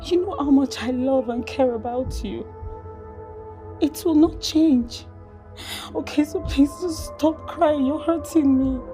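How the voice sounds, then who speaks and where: A woman's voice: high-pitched, slow, dark tone, faint music behind. A woman playing a caring sisterly friend in a screen drama, consoling and imploring with a Nigerian accent. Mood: soothing, pleading. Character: caring sisterly friend, soothing, gently urging.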